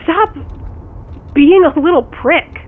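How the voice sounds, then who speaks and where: Dull phone-call sound, actress playing a blunt concerned woman friend, high voice, slow delivery, audio drama. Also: animated, full character voice. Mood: exasperated. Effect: phone call filter. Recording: muffled phone call, faint car noise.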